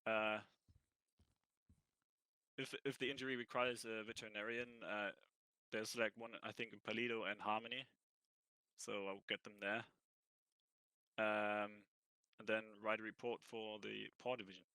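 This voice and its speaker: A man with a cold answering interview questions in a roleplay, halting and thinking aloud as he goes. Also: fairly low pitch, flat delivery, sharp tone, clear, resonant tone, no echo, low-quality recording. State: has a cold. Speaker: ranger job applicant.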